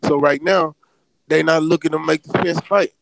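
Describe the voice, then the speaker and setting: Man, hoarse, blunt, swearing, argumentative, slightly high, faint line noise. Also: fairly even intonation, moderate pace. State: hoarse, losing his voice. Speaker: caller. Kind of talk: casual debate on a call.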